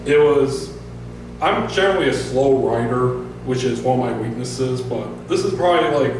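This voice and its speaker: An American man speaking as an author at a public reading, casual and halting, with a self-deprecating, informal way of talking. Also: mid-range voice, animated. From northern Michigan, United States. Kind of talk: casual spoken introduction and story reading to a small audience. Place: bar-like event venue, recorded from across the room. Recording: echoey venue, distant mic, music behind.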